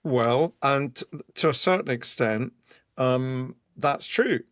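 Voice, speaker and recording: A man with a hoarse voice, co-hosting a podcast from his home study and talking in a thoughtful, rambling way. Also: medium pitch, slow pace, fairly bright tone, no echo, studio quality. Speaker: podcast co-host, criminologist. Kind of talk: casual podcast chat. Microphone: headphones and home microphone.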